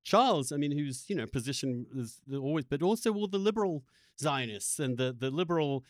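A man hosting an interview podcast, speaking reflectively and earnestly in halting, emphatic phrases that often break off and restart. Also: fairly high pitch, expressive, no room sound, clean studio sound. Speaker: podcast host interviewing a guest.

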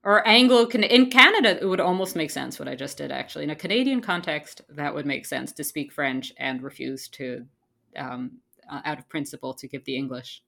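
A woman's voice: sits low, a little fast, creaky, pushed and bright. A woman co-hosting a podcast in casual chat, playful and ironic, rambling with fillers and laughs. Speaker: podcast co-host.

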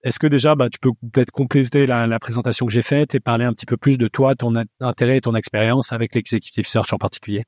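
A male podcast host with a mid-range voice asks his guest questions in a friendly, brisk way. The recording is dry and close. He hesitates now and then before his questions. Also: mid-range voice, fairly even intonation, a little mellow, dry recording. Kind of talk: podcast interview.

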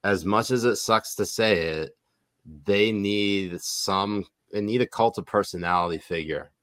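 A man's voice: fairly low pitch, a little slow, a bit bright. A man talking politics in a casual podcast chat, rambling with lots of filler words.

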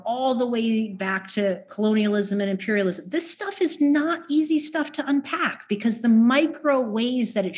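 A woman speaks at a middle pitch in a reflective conversation. She explains her ideas with emphasis, posing rhetorical questions and voicing inner thoughts as she goes.

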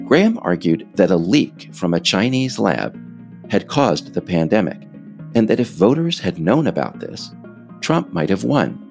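Very deep, gravelly American male narrator. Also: a little mellow. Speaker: author narrating his audiobook episode. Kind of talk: scripted political narration.

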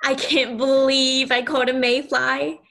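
Woman playing a high-voiced, stubborn young girl. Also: level tone, measured pace, vocal fry, fairly bright tone, exaggerated voice. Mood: excited, possessive. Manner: childlike, earnest, insistent. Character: lonely, stubborn, possessive ten-year-old girl. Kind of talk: audio play acting.

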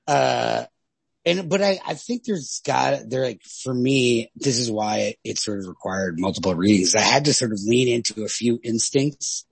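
A man talks books casually as a podcast co-host. His voice is creaky and rough-edged, and he swears freely in an animated manner. The recording is close and dry. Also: medium pitch, normal speed, no echo. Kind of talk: casual literary discussion.

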